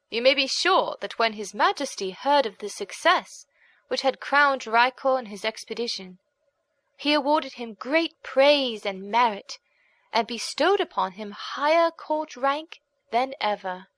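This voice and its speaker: A woman reading a folk tale as an audiobook narrator, in a measured, expressive, dramatic storytelling manner. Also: on the high side, dry recording.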